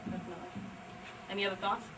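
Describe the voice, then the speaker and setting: A woman's voice: medium pitch, fairly even intonation, rapid-fire, very noisy. A woman performer speaking to a live audience at a poetry event, recorded live in a library room. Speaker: actress performing a poetry reading and leading discussion. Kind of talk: dramatic, half-sung poetry recital and casual audience discussion. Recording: live event, distant mic, piano music behind.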